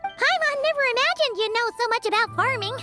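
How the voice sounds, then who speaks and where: A woman voicing an excitable, childlike little fairy companion in a video game, chirpy in manner. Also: very high voice, brisk, faint music behind. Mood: curious, teasing. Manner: chirpy, refers to self in third person.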